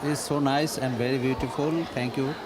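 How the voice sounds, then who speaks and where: A Bangladeshi man with a Bangladeshi accent and native Bengali gives a short vox pop. His voice is medium in pitch, and a loud crowd and heavy noise surround him. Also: little pitch movement, normal speed. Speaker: event guest. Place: noisy public forum venue.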